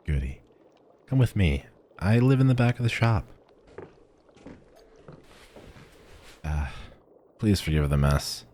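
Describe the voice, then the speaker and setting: A man's voice: low-pitched, very animated, unhurried, dark tone, slight background noise. A man playing a friendly, eccentric village alchemist shopkeeper in a fantasy audio roleplay, chatting eagerly to the listener with musing hums. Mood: friendly, inviting.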